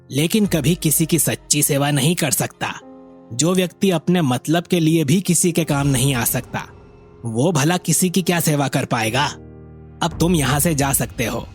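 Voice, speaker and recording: A man voicing a wise, patient but stern old village elder in a moral story, husky and measured. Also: on the high side, lightly acted. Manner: measured, admonishing. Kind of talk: acted moral story dialogue.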